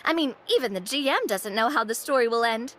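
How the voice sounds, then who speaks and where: An eager, cheerful young woman from a video game, chats in a high voice with very lively intonation. She sounds playful and casual, over faint ambient noise. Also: brisk.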